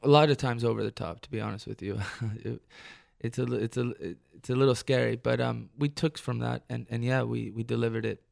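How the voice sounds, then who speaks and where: A man from Detroit, a musician guest on live radio, talking in a reflective, halting way with many restarts. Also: medium pitch, steady tone, creaky, a little mellow. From Detroit, United States. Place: radio studio.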